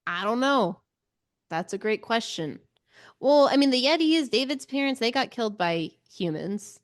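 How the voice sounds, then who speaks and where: A woman's voice: a little high, lively intonation, moderate pace. A woman co-hosting a podcast, talking about a book in a casual, tentative and agreeable way. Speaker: book club podcast co-host. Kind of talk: casual book discussion chat.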